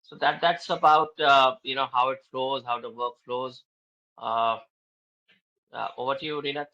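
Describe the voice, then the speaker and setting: A man explaining how work flows on a webinar, pausing often with fillers. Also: medium pitch, steady tone, sharp tone, dull audio. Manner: hesitant, frequent fillers. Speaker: webinar speaker. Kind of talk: explaining a business process. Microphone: video call.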